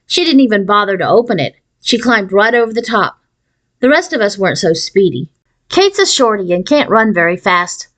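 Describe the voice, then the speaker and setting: A woman reading a children's novel aloud in an expressive storytelling style. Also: mid-range voice, moderate pace. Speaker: audiobook reader.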